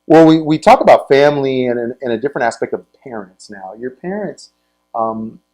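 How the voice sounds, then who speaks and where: An American man hosting a conversational sports interview show, speaking in a halting way and repeating words as he goes. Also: mid-range voice, animated, darkish tone, poor audio.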